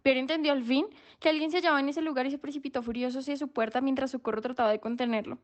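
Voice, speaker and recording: A woman's voice: high voice, fairly even intonation, very fast, lightly acted. An actress playing a troubled young woman, confiding a story in a gossipy way for a radio drama. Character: troubled young woman confiding about her vagrant brother. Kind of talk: radio drama narrative monologue.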